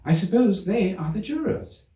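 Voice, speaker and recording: A man's voice: high-pitched, animated, normal speed, dark tone, voice pushed up. A man voicing a curious, proud and outspoken little girl in a storybook. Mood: curious, pleased. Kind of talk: audiobook character voice. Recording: roomy, slightly distant microphone.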